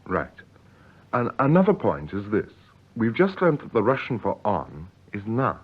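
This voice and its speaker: A man in a scripted 1950s radio language lesson, speaking carefully and deliberately as the learner and co-presenter. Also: on the low side, wildly swooping pitch, breathy edge. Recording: dull, lo-fi 1950s radio recording.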